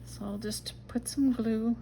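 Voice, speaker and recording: Slow-spoken Dutch-accented woman crafting. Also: on the high side, fairly even intonation, gravelly, slight background noise, rough recording. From Netherlands. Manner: chatty, talks to herself while working, soft murmurs and whispers. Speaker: junk journal crafter. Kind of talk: casual craft-along narration.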